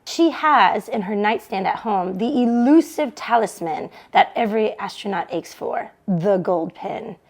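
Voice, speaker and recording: A woman hosting a book club read-along, reading a novel aloud to an online audience with expressive delivery. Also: medium pitch, a little slow, creaky, hardly any pauses.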